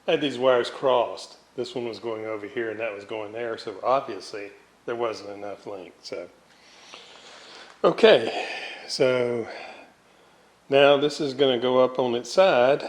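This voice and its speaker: A man casually narrating hands-on repair work as a hobbyist, thinking aloud as he goes in an indoor workshop. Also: medium pitch. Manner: unscripted, thinking aloud while working. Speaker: radio repair hobbyist and video host. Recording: roomy echo, noticeable background noise.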